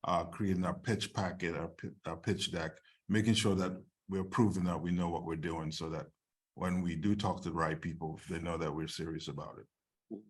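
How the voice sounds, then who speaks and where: A man with a fairly low voice talks as a screenwriter and filmmaker. He gives informal, conversational answers in an online question-and-answer session. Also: fairly even intonation, moderate pace, husky. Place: online video call.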